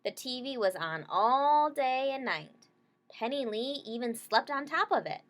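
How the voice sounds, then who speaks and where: A woman's voice: high-pitched, lively intonation, unhurried. A woman reading a children's story aloud, stopping to explain things simply and check in with short questions. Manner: checks in with listeners using short tag questions.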